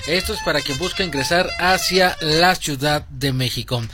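Higher-voiced Mexico City man, radio reporter. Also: moderate pace, music in the background. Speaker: radio traffic and weather reporter. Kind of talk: informal radio report and banter. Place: radio studio. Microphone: studio microphone.

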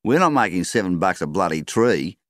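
A man's voice: deep voice, sing-song, a little fast, dry recording, clean studio sound, pitched above the performer's own voice. A man voicing a brash, cocky roo shooter. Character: gruff rough-spoken male kangaroo shooter.

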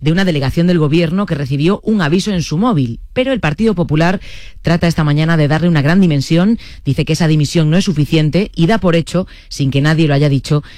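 A woman's voice: deep voice, fast talker, a little mellow, dry recording, studio quality. A woman with a Castilian Spanish accent presenting a live radio news bulletin.

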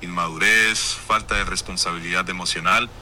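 A man voicing an AI chatbot giving calm, measured advice. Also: deep voice, a little hiss or hum. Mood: soothing. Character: AI chatbot advisor, male, soothing.

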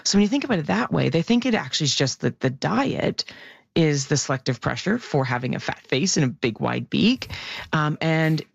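A woman explains bird research on the radio with real enthusiasm, her voice gravelly and naturally loud. The sound is dry and a little muffled. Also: sits low, brisk, dry recording. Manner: lively, animated explainer, self-described loud voice. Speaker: science columnist. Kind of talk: radio science segment chat.

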